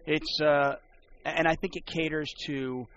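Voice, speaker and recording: A man's voice: on the high side, fairly even intonation, unhurried, a bit bright, lo-fi sound, slight background noise. A man who works as a poker and sports broadcaster, chatting casually on a podcast and rambling with many restarts.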